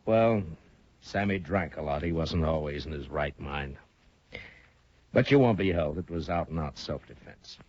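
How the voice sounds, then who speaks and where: Casting: a deep, measured lawman, old muffled radio sound. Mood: grave. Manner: measured, steady. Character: steady frontier marshal, calm and firm. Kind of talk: 1950s radio acting. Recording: muffled 1950s radio broadcast.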